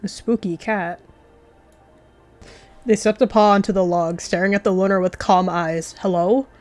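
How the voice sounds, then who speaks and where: A woman narrates a game roleplay in storytelling style, with chatty asides dropped in. Her pitch sits in the middle range for a woman, and faint music plays behind her. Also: fairly even intonation, normal speed, a little mellow, no room sound.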